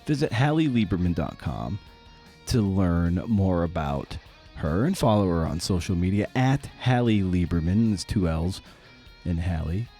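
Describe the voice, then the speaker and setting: A man with a fairly low voice hosts a podcast. His delivery is conversational and loose, full of everyday phrasing. Faint music can be heard with him. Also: very animated, measured pace, mellow. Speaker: podcast host. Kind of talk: casual conversational podcast hosting.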